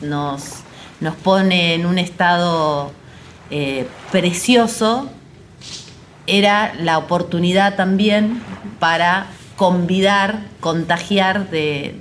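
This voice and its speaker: An Argentine woman talking reflectively and conversationally about a creative project, often halting as she searches for words. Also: fairly low pitch, a little hiss or hum. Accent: Argentine Spanish. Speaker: theater artist and educator. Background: music behind the voice.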